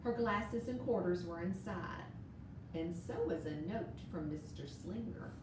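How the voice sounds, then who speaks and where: Lady doing an expressive kids story read aloud, real echoey, far from mic, noisy. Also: lowish voice, unhurried. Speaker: storyteller for children. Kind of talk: children's picture book read-aloud. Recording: very echoey room, distant mic, noise.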